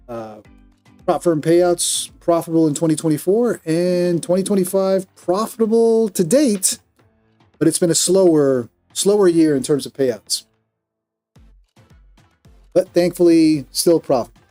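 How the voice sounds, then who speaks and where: A man's voice: fairly high pitch, warm tone. A man from Colombia with a Colombian accent, commenting on his trades during a live stream and answering viewer chat in a candid, self-critical way.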